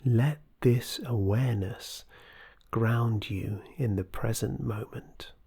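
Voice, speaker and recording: A softly spoken meditation guide giving scripted instructions in a soothing, gentle, breathy voice that often drops close to a whisper. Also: medium pitch, takes it slowly.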